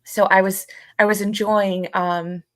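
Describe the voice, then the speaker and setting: A woman co-hosting a podcast in casual conversation, speaking in a halting way with frequent pauses. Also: medium pitch, level tone, dry recording. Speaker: podcast co-host.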